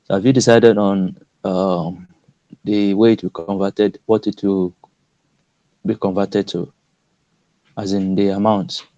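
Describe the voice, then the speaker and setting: A man with a low, mellow voice calls in and speaks slowly and haltingly while he searches for his words. The line sounds dry and a little dull. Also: little pitch movement, no echo. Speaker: caller asking a question.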